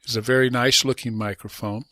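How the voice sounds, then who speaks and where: A middle-aged or older man giving an informal, plainspoken microphone demo in short, deliberate phrases, speaking close to a dynamic microphone. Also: medium pitch, fairly even intonation, sharp tone, dry recording. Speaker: amateur radio hobbyist reviewing microphones. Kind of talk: informal explanatory product demo narration. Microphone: close dynamic podcast or studio microphone.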